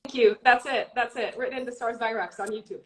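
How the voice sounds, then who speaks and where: A woman's voice: on the high side, fairly even intonation, quick pace, fairly bright tone. A woman guest astrologer in casual chat, a little congested from a cold, eager and repeating her words. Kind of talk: casual live-stream chat. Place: at home in the UK.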